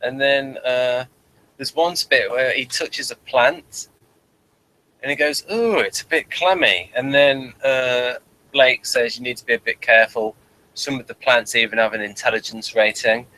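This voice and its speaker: A man with a mid-pitched voice talks through a show in a loose, conversational review. He rambles and halts, often restarting with the same phrase as he guides the discussion. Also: sharp tone, poor audio. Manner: halting, rambling, repeats phrases. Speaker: host of a TV episode review.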